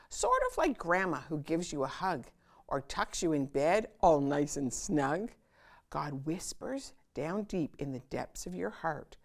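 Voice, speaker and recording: A woman hosting a children's storytime, reading a picture book aloud in an expressive, sing-song manner with dramatic pauses. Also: deep voice.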